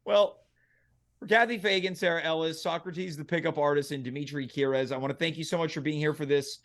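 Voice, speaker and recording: A man's voice: on the high side, fairly even intonation, fairly quick, a bit bright. A man hosting a casual, comedic panel chat, asking the guests questions. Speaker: show host.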